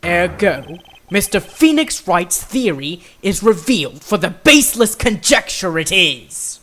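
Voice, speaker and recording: A man voicing a composed, condescending prosecutor in a courtroom game fandub, speaking formally and deliberately. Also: high voice, big pitch swings, pushed and bright, exaggerated voice. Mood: confident, arguing. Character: composed, haughty male prosecutor, condescending and exacting.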